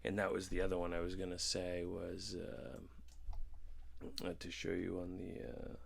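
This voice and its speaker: Male podcast host, low register, informal and chatty. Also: monotone, moderate pace, creaky, slight background noise. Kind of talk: casual chat.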